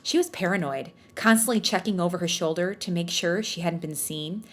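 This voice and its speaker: A woman's voice: on the low side, a little fast. A woman podcast host who reads fan fiction aloud in a storytelling style, with casual, self-mocking joking asides. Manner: jokey, self-mocking, bantering.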